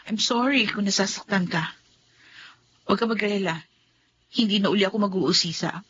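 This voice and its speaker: A woman's voice: medium pitch, normal speed, fairly bright tone, highs cut off, a little hiss or hum, natural voice. An actress playing a curious young woman who keeps asking a friend about the man she likes. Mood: inquisitive. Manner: inquisitive, apologetic. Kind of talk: radio drama acting.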